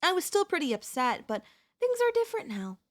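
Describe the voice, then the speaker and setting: A woman playing a possessive, manipulative wife in an ASMR audio roleplay, speaking to the listener in a sweetly condescending, deliberate, theatrical way. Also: very high voice, very animated. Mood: smug, chilling calm. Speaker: voice actress.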